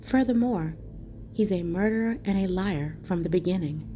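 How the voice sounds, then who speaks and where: Mellow woman teaching scripture. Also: on the low side, normal speed, a little hiss or hum. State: voice crackling, starting to get hoarse. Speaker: online ministry teacher. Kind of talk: scripture reading and religious teaching.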